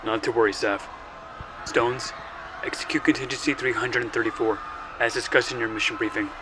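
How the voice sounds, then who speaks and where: An actor playing a cold, controlled military commander giving calm, clipped orders in an audio drama. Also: male voice, medium pitch, brisk, noisy background, natural voice. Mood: cold, commanding.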